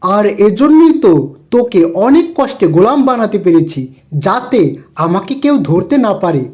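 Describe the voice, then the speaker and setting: Woman voicing a dark-toned, scheming cartoon sorcerer. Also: mid-range voice, very animated, a little slow. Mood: commanding, smug. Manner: commanding. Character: scheming corpse-stealing sorcerer, bossy and cunning. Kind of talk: cartoon villain dialogue.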